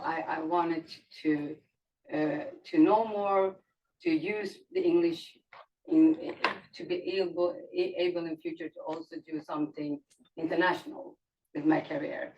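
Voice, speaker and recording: Woman, panelist, self-correcting, lowish voice, faint background hiss. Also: a little mellow. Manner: halting, self-correcting, laughing often. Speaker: roundtable panelist. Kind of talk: conversational panel remarks. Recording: distant, dull mic with faint noise.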